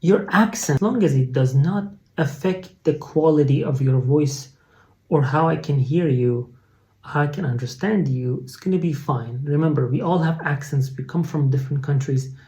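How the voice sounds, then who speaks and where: A man with a Persian accent, speaking English as a second language, teaching an online test-prep lesson in a clear, instructive way. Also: fairly high pitch, normal speed, mellow. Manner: explanatory, instructive, with frequent fillers and restarts.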